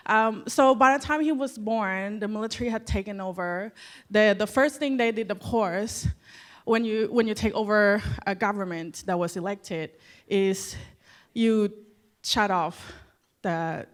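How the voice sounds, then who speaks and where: A woman's voice: mid-range voice, measured pace. A woman from Thailand speaks on a conference panel, sharing a personal story. Her delivery is earnest and conversational, with frequent asides to the audience.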